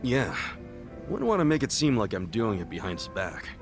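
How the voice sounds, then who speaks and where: An actor playing an earnest, excitable, loyal friend in a video game, with an eager, informal way of talking. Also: male voice, fairly low pitch, normal speed, over music. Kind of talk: dramatic game cutscene acting.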